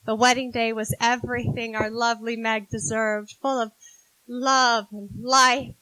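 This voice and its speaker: A woman's voice: fairly high pitch, flat delivery, a little slow, bright, forceful tone, dry recording, low-quality recording. A woman acting a spirited, blunt young writer sister in a 1940s-style radio drama, brash and dramatic. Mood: warm reminiscing. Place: live stage in a theater.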